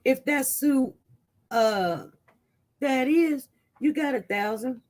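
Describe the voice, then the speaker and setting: A chatty live-stream host talks casually through the news and reads viewer comments aloud. The voice keeps leaping up high and loud when reacting, then dropping back to a low mutter. The talk rambles, with many restarts. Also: takes it slowly. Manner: animated, voice jumps up loud when reacting to chat. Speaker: livestream news host reading viewer chat.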